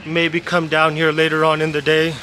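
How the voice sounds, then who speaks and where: A man's voice: a little high, a little hiss or hum. A man from Canada casually narrating a walking vlog outdoors on narrow town streets. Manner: relaxed, unhurried narration to camera. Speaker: vlogger.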